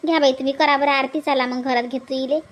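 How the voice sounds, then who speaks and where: A woman voicing a brisk, caring village woman in a cartoon, chatty and repetitive. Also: sits high, steady tone, close to an everyday voice. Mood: soothing, chiding.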